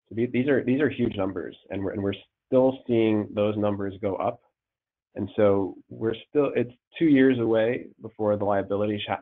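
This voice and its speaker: Congested man presenting over a phone line. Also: lowish voice. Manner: halting, repeats words, many ums. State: congested with a cold. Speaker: payments risk manager presenting a webinar. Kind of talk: slide presentation over a call. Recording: tinny phone-line audio.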